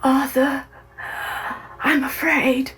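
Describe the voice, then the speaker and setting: A woman playing a weak, childlike young gentlewoman in a gothic horror audio drama, pleading languidly and breathily. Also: high voice, flat delivery, extremely slow, heavily acted. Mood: frail, anxious.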